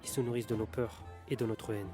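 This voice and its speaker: An actor playing an earnest, insistent conspiracy theorist stranger in a bar, in an audio drama. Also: male voice, fairly low pitch, little pitch movement, fast talker, lightly acted. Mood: grave, convinced. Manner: earnest, urgent explaining. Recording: music and bar chatter behind.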